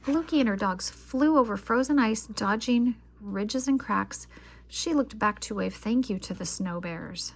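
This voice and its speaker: A woman reading a children's picture book aloud as an expressive storyteller. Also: a little high, a little slow, a little hiss or hum.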